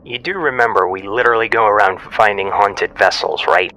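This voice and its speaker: A woman playing a teasing, excitable ghost hunter in an audio drama, with a wry, animated way of talking. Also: very deep voice, a little fast, full character voice, poor audio. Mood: wry, focused. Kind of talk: audio drama acting, naturalistic dialogue.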